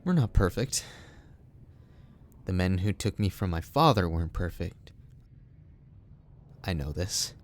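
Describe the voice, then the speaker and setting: Calm, low-voiced Republic official played by a man. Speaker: official, son of the corporate boss. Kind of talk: fan audio drama acting.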